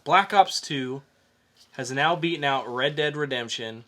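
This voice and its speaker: A man co-hosting a podcast, talking casually through entertainment news in a halting, conversational way with pauses. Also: mid-range voice, bright, forceful tone. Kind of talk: casual geek news chat.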